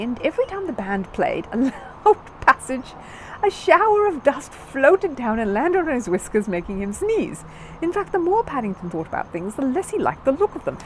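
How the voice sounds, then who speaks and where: A woman reading a children's book aloud as scripted narration, in an expressive storyteller's manner. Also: high voice, a little hiss or hum, poor audio.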